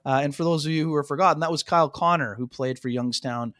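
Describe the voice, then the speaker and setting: A man's voice: a little high, fast, a bit bright, no echo, studio quality. A man co-hosting a hockey radio show, talking casually with many "you know" fillers and calling his co-hosts "fellas". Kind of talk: casual sports talk radio chat. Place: radio studio.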